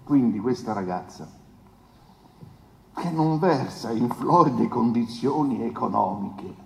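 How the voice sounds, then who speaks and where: A man's voice: medium pitch, lively intonation, slow, gravelly. An actor playing a smug, pompous male defence lawyer, pleading theatrically in a courtroom drama with insinuating, rhetorical emphasis. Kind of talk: theatrical courtroom oration, stage adaptation of a trial. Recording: echoey hall with long reverb, background noise.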